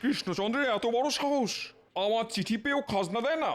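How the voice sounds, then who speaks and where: A man's voice: extremely high pitch, brisk. A man voicing an imperious, menacing Nawab in a Bengali comedy cartoon, haughty and commanding. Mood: outraged. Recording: music behind the voice.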